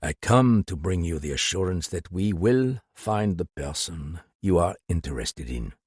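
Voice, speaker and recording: A man voicing a calm male French detective with a deep, quiet voice, speaking in a measured, musing way. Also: slightly rough, no echo, clean studio sound, full character voice.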